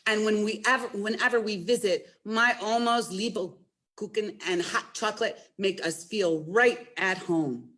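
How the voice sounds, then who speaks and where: A woman's voice: mid-range voice, unhurried, a bit bright. A woman presenting a storytime as a children's librarian, talking directly to young listeners in an encouraging way and reading a picture book aloud.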